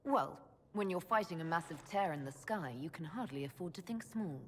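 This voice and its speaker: An actress playing a composed, direct young mage leader in a video game, with a cool, measured manner. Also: female voice, fairly low pitch, lively intonation, a little hiss or hum, natural voice. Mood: guarded, determined. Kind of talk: video game cutscene acting.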